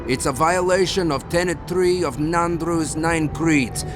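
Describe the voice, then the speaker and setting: Man as an officious higher-voiced gate guard. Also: fairly even intonation, measured pace, music in the background, natural voice. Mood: stern, unyielding. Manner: officious, stern, formal. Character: gruff, rigid, rule-quoting gate guard. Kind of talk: video game character acting.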